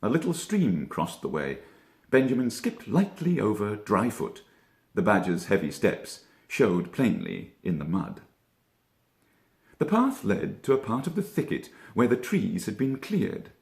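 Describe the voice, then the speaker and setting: A man narrates a children's storybook with a very lively, varied delivery. He uses deliberate dramatic pauses, and the room adds a noticeable echo. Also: fairly low pitch, vocal fry. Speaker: actor reading a children's story. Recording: echoey room.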